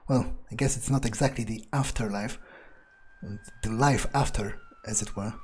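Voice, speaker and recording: A man's voice: mid-range voice, normal speed, a little mellow, husky, lightly acted. A man playing a cynical, crude gangster turned vampire, thinking aloud in character in a sardonic, hesitant mutter during a video game. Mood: wry musing.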